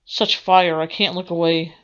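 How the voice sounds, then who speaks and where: A woman's voice: fairly low pitch, steady tone, normal speed, clear, resonant tone. A woman game streamer reading visual novel narration aloud in a theatrical way. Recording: dull audio with faint music.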